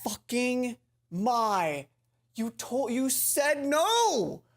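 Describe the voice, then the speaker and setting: A woman hosting a let's play, reading a visual novel aloud and commenting casually, expressive, stumbling now and then and swearing. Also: fairly high pitch, very slow. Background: game music.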